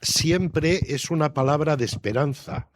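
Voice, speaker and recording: A man's voice: on the high side, unhurried, no echo. An older man answering as an interviewed writer, thoughtful and didactic, often adding tag questions to seek agreement.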